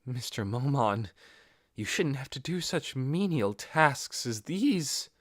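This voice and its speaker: A man's voice: mid-range voice, sing-song, takes it slowly, fairly bright tone, no echo, studio quality, heavily acted. A man voicing a devoted, haughty female adventurer who speaks formally and indignantly in an audiobook. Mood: indignant devotion.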